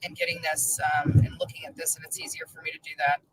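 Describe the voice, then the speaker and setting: A woman talking casually in a rambling online video, halting and often interrupting herself. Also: low-pitched, wildly swooping pitch. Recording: noisy background ambience, played from video.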